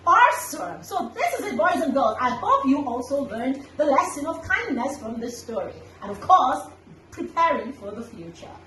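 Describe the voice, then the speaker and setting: A woman hosting a children's story time, telling an animal tale with dramatic, deliberate emphasis. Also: high-pitched, very animated. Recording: echoey room, distant mic, faint noise.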